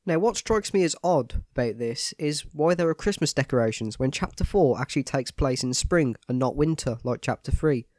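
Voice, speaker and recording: A man's voice: mid-range voice, lively intonation, moderate pace, hardly any pauses, a little mellow, no echo, clean studio sound. A man with a cold and a stuffy nose, giving a scripted, matter-of-fact voice-over analysis of a video game.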